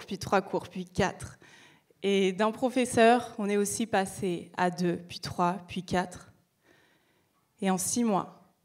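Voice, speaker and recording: A young woman founder giving a prepared stage talk, measured, with rhetorical questions and light humor. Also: mid-range voice. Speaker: project founder presenting.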